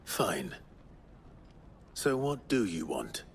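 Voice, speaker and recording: Low-voiced, determined young outlaw lord. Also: male voice, very animated, vocal fry, a little hiss or hum, heavily acted. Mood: restrained, determined. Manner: measured, direct, restrained. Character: composed, determined young male outlaw lord, earnest and wary. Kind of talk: dramatic video game acting.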